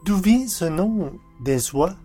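A man's voice: high-pitched, animated, takes it slowly, dark tone, soft background music. A man presenting and interviewing on a podcast in a scripted announcing style.

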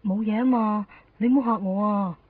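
A woman's voice: mid-range voice, normal speed, lightly acted. A woman acting a wistful young Hong Kong woman in a Cantonese radio drama. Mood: anxious, surprised. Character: wistful young woman longing for a lost love. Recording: muffled 1990s radio recording, music behind.

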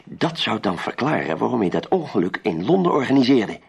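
An actor playing a shrewd, composed male investigator in a radio play, reasoning deliberately and with authority. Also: mid-range voice, big pitch swings, creaky, slightly rough, exaggerated voice. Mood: reasoning, measured. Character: shrewd, composed male sleuth and protective companion.

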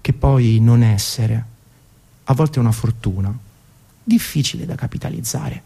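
A man's voice: medium pitch, a little slow, vocal fry, mellow, slightly rough. A man, reading a scripted music documentary monologue in a theatrical, storytelling way with colloquial asides. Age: 30s. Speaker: radio presenter. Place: radio broadcast.

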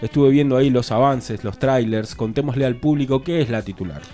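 A man's voice: medium pitch, brisk, mellow, soft background music. A man with an Argentine accent hosting a casual radio interview in an informal, jokey, colloquial way. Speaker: radio host.

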